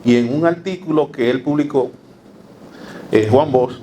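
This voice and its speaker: A man gives an academic talk in a lecture hall. He speaks in a steady, measured way at a middle pitch, pausing on fillers and asking rhetorical questions. A faint hiss of room ambience sits under his voice. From Dominican Republic. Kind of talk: university lecture.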